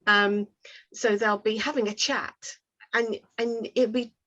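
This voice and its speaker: A woman's voice: on the high side, steady tone, measured pace, fairly bright tone. A woman giving conversational, explanatory advice as a workshop speaker, often weighing things up with hedges. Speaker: oral historian and workshop speaker. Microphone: video call. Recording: dull lo-fi webinar audio.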